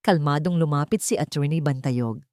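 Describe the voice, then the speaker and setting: A woman's voice: deep voice, moderate pace, warm tone, no echo, studio quality. A woman with a Filipino accent reading a dramatic story as a scripted narration.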